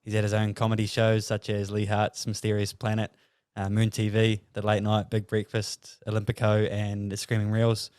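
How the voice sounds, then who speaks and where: Kiwi podcast host, lowish flat voice, lots of uh's, dry close sound. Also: male voice, smooth, no room sound. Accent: New Zealand. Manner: halting, many "uh" fillers while reading out an introduction. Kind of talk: casual podcast interview and introduction.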